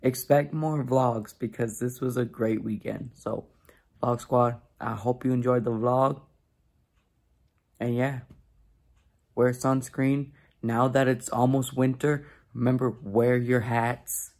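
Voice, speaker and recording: Raspy young man vlogging on a phone. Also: medium pitch, slow pace. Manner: excited shouting at a hockey game. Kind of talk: casual vlog to camera. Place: indoor arena crowd, then outdoors at night, then a couch at home. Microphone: phone camera held close.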